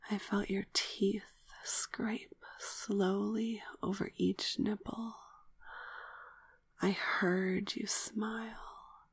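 A woman narrating a scripted, sensual first-person story in a hushed, breathy whisper, speaking in short deliberate phrases. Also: medium pitch, no room sound. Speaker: narrator of erotic audio story.

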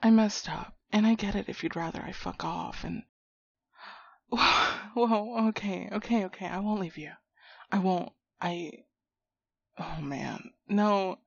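Woman acting as hot-headed yet loyal young female friend, audio drama, profane slang, husky breathy voice, slightly muffled home recording. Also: medium pitch, takes it slowly, dry recording, heavily acted. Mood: tender, hushed. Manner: slangy, profane, talking directly to a buddy. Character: foul-mouthed, hot-tempered but protective young friend, finding shrunken buddy. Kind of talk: immersive one-sided audio roleplay drama.

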